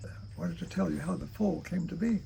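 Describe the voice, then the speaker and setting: A man reads a childhood memoir aloud in a halting, deliberate way, stumbling now and then. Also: a little high, creaky, noisy background. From rural Kentucky, United States. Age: 80s. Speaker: storyteller reading own memoir.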